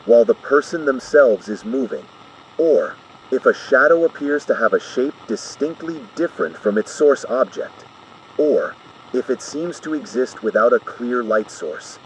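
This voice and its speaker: A man playing a calm, formal emergency broadcast announcer in an analog horror piece, measured and ominous. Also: medium pitch, slight background noise, lightly acted, low-quality recording.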